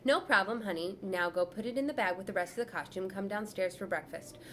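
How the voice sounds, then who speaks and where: A woman voicing a gentle, caring mother in a storybook reading. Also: mid-range voice, quick pace, a little hiss or hum, natural voice. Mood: soothing.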